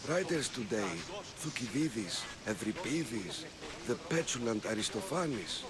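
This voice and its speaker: An actor playing a feeble, devout old temple priest in a video game, halting and evasive, telling stories with reverence. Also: male voice, medium pitch. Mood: reverent storytelling. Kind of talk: video game character dialogue. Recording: loud background music.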